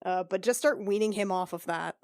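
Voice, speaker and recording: A woman's voice: mid-range voice, fairly quick, darkish tone, dry recording, close to an everyday voice. Woman as a female fantasy healer, blunt, rambling, informal, mid-range, dry close sound. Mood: blunt, awkward.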